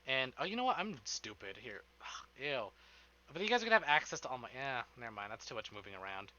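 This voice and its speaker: A man's voice: mid-range voice, sing-song, fast talker, pushed and bright, dull audio. A man streaming live, chatting casually with his viewers in an informal, rambling way and swearing freely.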